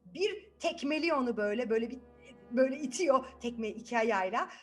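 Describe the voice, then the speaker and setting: A woman's voice: a little high, a little fast. A woman telling a children's story expressively, often putting questions to her listeners and acting out what happens. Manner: expressive, asks the listeners frequent questions, acts out events.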